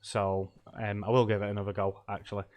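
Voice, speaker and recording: A man's voice: fairly low pitch, level tone, fairly quick, darkish tone. A middle-aged man, stuffy from a cold, chatting casually and rambling with lots of ums and laughs while live-streaming a game.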